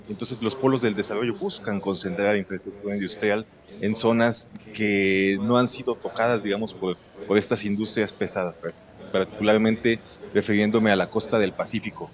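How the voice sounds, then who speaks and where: A man asking a long, hesitant question from the audience at a conference, often repeating words. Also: on the low side. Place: university conference room with audience. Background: audience in the room.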